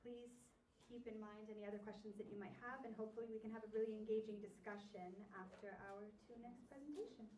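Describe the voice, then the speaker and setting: A woman's voice: mid-range voice, fairly even intonation, quick pace, few pauses, mellow, slight background noise. A woman moderating a seminar, announcing the next speaker in a plain, orderly way. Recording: echoey room from a distance.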